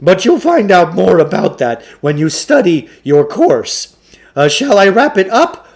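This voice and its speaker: A man's voice: sits high, sing-song, measured pace, darkish tone, voice pushed up, exaggerated voice. A man voicing a chatty, folksy shopkeeper huckster in a storybook reading, with eager, exclamatory sales patter. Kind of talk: children's storybook character voice.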